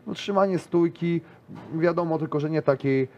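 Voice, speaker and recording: A man's voice: fairly high pitch, normal speed, darkish tone, highs cut off, a little hiss or hum. A man in his thirties, a grappler and interview guest, talking casually in a small studio, rambling with lots of fillers and restarts. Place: small studio with a decorated wall.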